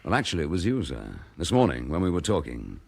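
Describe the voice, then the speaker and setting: A man's voice: a bass voice, wildly swooping pitch, fast, gravelly, husky, full character voice. An actor playing a determined, earnest detective chief inspector who presses his case bluntly. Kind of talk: 1960s radio drama acting.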